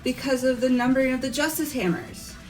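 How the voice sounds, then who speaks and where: Woman acting a self-assured schoolgirl, high-ish voice, emphatic, argumentative, visual novel dub, background music. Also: fairly even intonation, brisk, a little mellow, close to an everyday voice. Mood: assertive.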